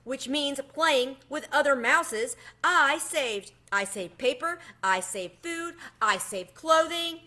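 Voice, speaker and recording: A woman's voice: high voice, expressive, takes it slowly, hardly any pauses, bright, forceful tone, pitched above the performer's own voice, full character voice. A woman voicing a melodramatic, self-pitying, miserly little male mouse for a children's storybook, pleading and theatrical with quaint phrasing. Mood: pitiful, grandiose. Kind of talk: children's storybook read-aloud.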